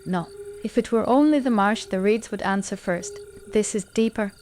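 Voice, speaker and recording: An actress playing a sharp-tongued, alert elf archer with formal, controlled speech in a fantasy audio drama. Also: female voice, mid-range voice, normal speed, slight background noise, close to an everyday voice. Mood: tense, alert.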